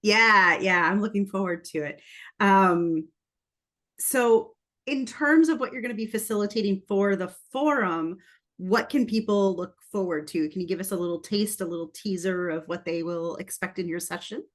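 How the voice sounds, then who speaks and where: A woman's voice: medium pitch, moderate pace, fairly bright tone. A woman hosting a casual interview over a video call, upbeat and enthusiastic. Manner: upbeat, enthusiastic, laughs easily. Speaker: host.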